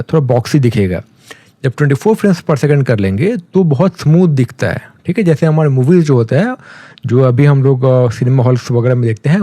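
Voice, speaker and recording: A man with an Indian accent giving a casual, conversational software tutorial, explaining step by step and mixing in English terms. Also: a little high, no room sound. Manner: conversational, mixes English terms, often checks in with a tag phrase.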